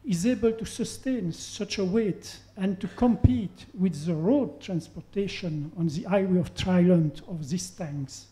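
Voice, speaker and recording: A man from Belgium stands up from the audience to put a careful, drawn-out question to a panel. His voice is mellow and darkly toned, and he repeats key points for emphasis. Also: sits high, poor audio. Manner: slow, deliberate, repeats for emphasis. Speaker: audience member asking a question. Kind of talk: formal question at a panel event.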